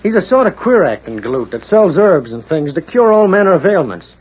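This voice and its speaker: Casting: wry young rancher, folksy, high-pitched and husky, muffled old radio Western. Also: male voice, very animated, a little fast, heavily acted. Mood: wry, chatty. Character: young, wry, talkative frontier ranch man. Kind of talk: 1940s Western radio acting. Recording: muffled 1940s radio recording.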